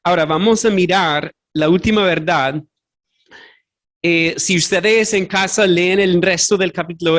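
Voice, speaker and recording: A man's voice: high-pitched, fairly bright tone. A man preaching a sermon as pastor, earnest, a non-native Spanish speaker who searches for words and corrects himself aloud. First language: not Spanish. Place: church sanctuary.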